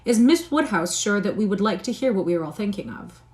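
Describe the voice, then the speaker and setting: A woman voicing a grave gentleman who speaks distinctly and pointedly. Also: mid-range voice, expressive, fairly quick, close to an everyday voice. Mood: dry.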